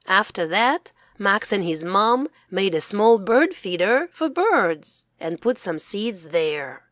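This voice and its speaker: A woman's voice: mid-range voice, sing-song, takes it slowly, a bit bright, no echo. A woman reading scripted children's storybook narration as its author, enunciating carefully for English learners.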